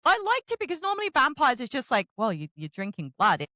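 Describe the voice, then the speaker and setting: Woman interviewer, kinda high voice that swings all over, gushy and chatty about books. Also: fast, no echo, clean studio sound. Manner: effusive, expressive. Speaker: interviewer, book show host. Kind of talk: casual enthusiastic interview chat.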